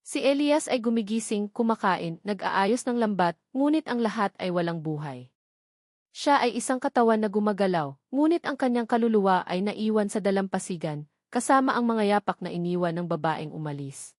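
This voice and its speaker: A woman's voice: medium pitch, lively intonation, moderate pace, darkish tone, no room sound. Filipino woman narrating, dry close sound. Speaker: audiobook narrator. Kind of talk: dramatic scripted story narration.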